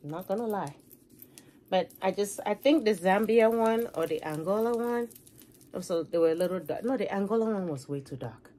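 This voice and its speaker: A woman's voice: mid-range voice, animated, moderate pace, mellow. A middle-aged woman from Cameroon with a Cameroonian accent, chatting to camera about makeup she bought, with laughing asides and repeated words, in a room lined with handbag shelves. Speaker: beauty vlogger. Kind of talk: casual chat to camera.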